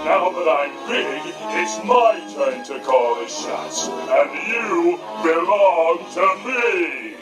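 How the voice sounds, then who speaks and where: A woman voicing a giant, menacing male monster that bellows slow threats in a storybook reading. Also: deep voice, animated, reverberant, recorded from a distance, music in the background, voice pushed down, low-quality recording. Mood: gloating.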